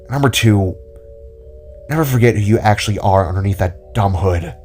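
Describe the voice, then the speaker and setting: Man acting a worried, protective older cousin, low voice. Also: moderate pace, a little mellow, lightly acted. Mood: tender, worried. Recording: music under the voice.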